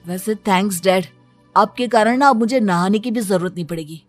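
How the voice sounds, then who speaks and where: A woman's voice: mid-range voice, fairly quick, no room sound, faint music behind, natural voice. A woman voicing a lazy, spoiled teenage son with a whining, flippant manner, in a cartoon story. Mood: sleepy, cheeky. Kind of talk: Hindi cartoon moral-story acting.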